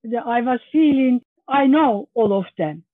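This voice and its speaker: A woman's voice: fairly high pitch, animated, measured pace, no echo. A woman with a Turkish accent being interviewed as an English learner, with careful, non-native phrasing. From Turkey. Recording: thin phone-like line.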